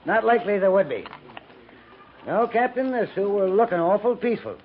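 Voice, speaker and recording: An actor playing an easygoing frontier army scout in a 1950s radio western, talking in terse, folksy phrases. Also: male voice, sits high, animated, fairly quick. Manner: terse, folksy, dropped words. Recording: tinny 1950s radio recording, faint noise.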